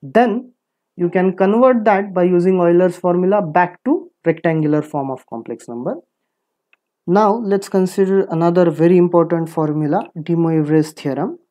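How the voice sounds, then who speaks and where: A woman teaches a maths lesson in a soft, mellow voice that sits a little low. She is deliberate and instructive, taking the listener through each step in order. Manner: deliberate, instructive, poses questions and answers them. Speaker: physics lecturer. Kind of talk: scripted maths lecture, explaining step by step.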